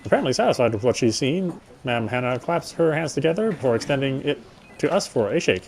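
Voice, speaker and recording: A man's voice: mid-range voice, expressive, fast talker, vocal fry, a little mellow. A man reading a visual novel's narration aloud with casual asides, sometimes stumbling and rereading.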